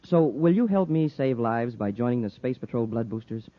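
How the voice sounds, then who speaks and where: A man plays a young space cadet from fifties radio, all earnest eagerness. The delivery is boyish and very animated. The old recording sounds dull and narrow. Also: mid-range voice, normal speed, lightly acted. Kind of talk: 1950s radio serial acting. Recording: muffled 1950s radio recording.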